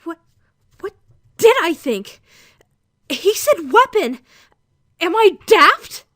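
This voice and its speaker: A woman's voice: extremely high pitch, big pitch swings, slow pace, a bit bright, dry recording, clean studio sound, exaggerated voice. A woman playing an anxious young female trainee who stammers and protests in a visual novel fan dub. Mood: panicked. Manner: stammering, hesitant, indignant.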